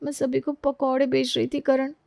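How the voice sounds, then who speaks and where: A woman's voice: high-pitched, level tone, moderate pace, gravelly, darkish tone, no echo, clean studio sound, voice pushed up. A woman voicing an overworked, exasperated young daughter-in-law in a storybook, complaining in a whiny, dramatic way. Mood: bewildered. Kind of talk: acted Hindi audio storybook dialogue.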